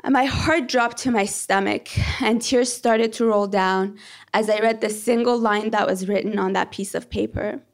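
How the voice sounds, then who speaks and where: A young woman from Iran, a PhD candidate, telling a personal story on stage in an earnest, measured and reflective way. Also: medium pitch, fairly even intonation.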